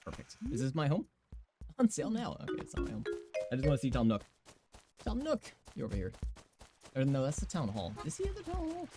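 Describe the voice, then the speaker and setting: A young man in his twenties with a raspy voice, chatting casually and giggling often as he streams a game. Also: mid-range voice, huge pitch swings, fairly quick, pauses often, music in the background. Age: 20s. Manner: giggly, rambling chatter full of fillers.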